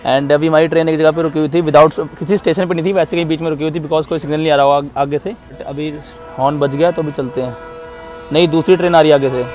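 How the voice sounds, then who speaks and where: A man's voice: fairly high pitch, fairly even intonation, fast talker. A man, chatting casually to a handheld camera as a travel vlogger. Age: 20s. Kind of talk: casual vlog chat to camera. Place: outdoors at railway stations and aboard trains. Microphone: handheld camera at arm's length. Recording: loud music over handheld outdoor recording. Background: loud music.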